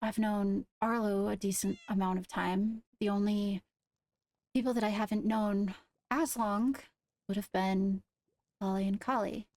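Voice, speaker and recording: A guarded young royal played by a woman in a game roleplay, with a gravelly fry in a mid-pitched voice. She reasons aloud carefully and deliberately, hedging as she weighs each suspect. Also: fairly even intonation, a little mellow, no room sound, natural voice. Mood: serious, deliberating. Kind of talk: improvised Minecraft roleplay.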